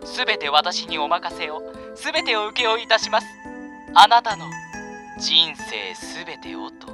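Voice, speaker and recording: Guy doing a creepy super polite double in a radio drama, calm and sly, voice goes up and down a lot, music under it. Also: a little high, exaggerated voice. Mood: menacing calm. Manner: smooth, overly polite, slowly menacing. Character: sly polite male double who took over a life.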